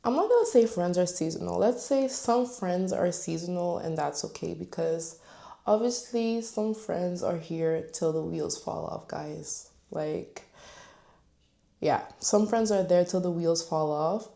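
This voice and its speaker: A woman's voice: lowish voice, measured pace, creaky, warm tone. A woman in her twenties talking candidly to camera on her own channel, chatty and full of fillers. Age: 20s. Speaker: vlogger. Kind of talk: casual talk to camera.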